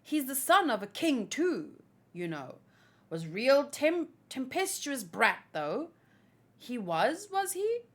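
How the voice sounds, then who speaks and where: A woman with a fairly high voice hosts a manga read-along. She moves between reading lines aloud and chatting casually about them as an animated fan. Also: slow pace. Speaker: host reacting to a manga chapter read-along.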